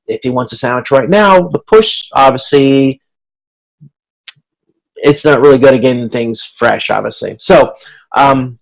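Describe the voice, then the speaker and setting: A man's voice: medium pitch, poor audio. A man teaching an online class in an informal, explanatory lecture style, talking through examples and checking for understanding. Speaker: instructor leading an online class. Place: online video conference.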